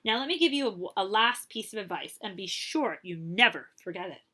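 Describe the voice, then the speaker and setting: A woman reading a children's story aloud as a librarian would, expressively and with suspense, dropping to a hush and stretching out key phrases. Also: medium pitch, animated, brisk.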